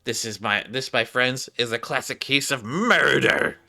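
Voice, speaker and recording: A man's voice: mid-range voice, a little slow, creaky, sharp tone, exaggerated voice. A man voicing an overzealous, suspicious young female detective from a game, pompous and theatrical.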